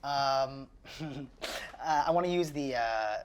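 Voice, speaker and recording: A man playing a tabletop roleplaying game, chatting casually and hesitantly thinking his moves out loud. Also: medium pitch, slow, slight background noise. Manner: animated, hesitant while working things out.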